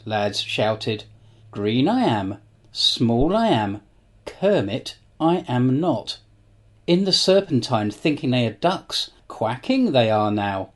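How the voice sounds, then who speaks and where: A man in his forties reading his own comic rhyming poems in a dry, matter-of-fact way. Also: medium pitch. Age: 40s. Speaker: guest poet.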